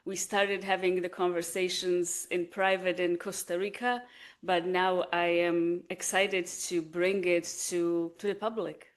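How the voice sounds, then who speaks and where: A woman's voice: lowish voice, fairly even intonation. A woman from the Republic, speaking earnestly in a reflective interview with a few small restarts. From Czech Republic. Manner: earnest, measured, with small restarts and repeated words. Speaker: guest, energy healer.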